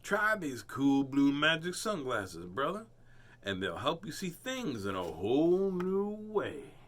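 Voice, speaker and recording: A cool, groovy cat from a storybook, easygoing and slow, as a man reading aloud puts him on. Bright and lively in pitch. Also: exaggerated voice. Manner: slow, groovy hip talk. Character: cool laid-back cat.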